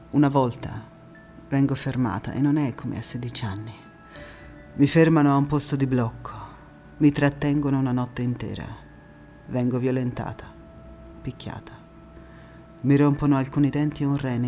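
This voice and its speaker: An actress playing a passionate wartime partisan woman in a theatrical monologue, phrasing every line with deliberate emphasis. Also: low voice. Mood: pained, subdued. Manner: emphatic, deliberate phrasing swinging between hushed intimacy and fervour. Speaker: stage actress. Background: music.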